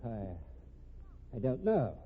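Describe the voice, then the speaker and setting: Guilty husband, middle pitch, husky, indignant bluster, 1950s radio drama delivery, narrow tinny recording. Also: male voice, wildly swooping pitch, very slow, full character voice. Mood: flustered. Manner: blustering, defensive, stammers when pressed. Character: smooth-lying, indignant husband turned cornered killer. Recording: thin, band-limited 1950s radio recording.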